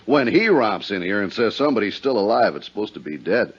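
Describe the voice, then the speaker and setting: A hearty insurance adjuster in an old radio drama. His voice is deep and his talk plain and conversational. The vintage recording sounds muffled. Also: big pitch swings, fairly quick, breathy edge. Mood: matter-of-fact, insistent. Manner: matter-of-fact, conversational. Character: hearty, plain-spoken male insurance adjuster briefing a friend. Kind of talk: 1950s radio drama dialogue. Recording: dull 1950s radio recording.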